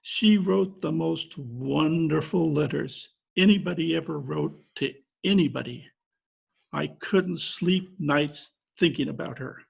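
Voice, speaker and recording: Man playing a very gravelly, jilted fisherman. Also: on the high side, unhurried, heavily acted. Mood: lovelorn, rueful. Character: jilted, gullible, aggrieved fisherman from Maine. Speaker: actor in an amateur radio-play reenactment. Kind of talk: old-time radio drama acting. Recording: remote home recording over video call.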